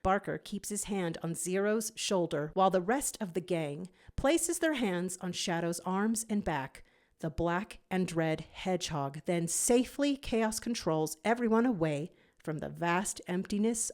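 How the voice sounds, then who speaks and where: A woman narrating a scripted story in an expressive storytelling manner. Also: fairly low pitch, measured pace.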